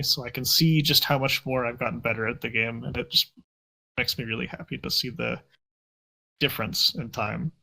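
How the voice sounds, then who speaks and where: A man's voice: mid-range voice, gravelly, pushed and bright, rough recording. A young man answering interview questions in a casual, reflective and self-deprecating way. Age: young adult. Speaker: interview guest.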